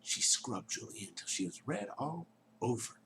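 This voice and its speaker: A man reading a children's story aloud in a theatrical way, dropping into hushed tones and chatting to his young listeners. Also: medium pitch, animated, unhurried, slight background noise.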